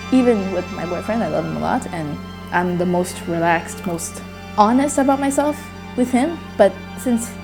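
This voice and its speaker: A woman's voice: on the low side, poor audio. A nineteen-year-old woman from Myanmar, a native Burmese speaker, speaking candidly in a personal interview with hesitant restarts. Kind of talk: personal testimony.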